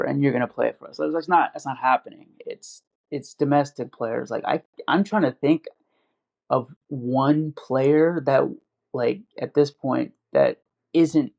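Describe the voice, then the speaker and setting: A man's voice: mid-range voice, vocal fry, a little mellow. A man in casual podcast chat, rambling with lots of fillers and restarts. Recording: dull lo-fi audio.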